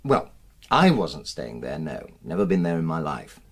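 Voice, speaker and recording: A man's voice: extremely low pitch, sing-song, normal speed, breathy edge, exaggerated voice. A man acting in a naturalistic radio drama as a defensive, aggrieved husband, earnest and emphatic as he justifies himself. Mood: uneasy, explaining. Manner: earnest, emphatic, self-justifying, hesitant under questioning. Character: aggrieved, defensive middle-class husband suspected of an affair.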